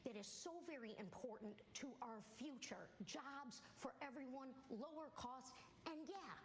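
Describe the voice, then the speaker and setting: A woman politician answers at a debate in a steady string of talking points. Her voice is a little higher-pitched. Faint noise sits under the replayed broadcast sound. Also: a little slow.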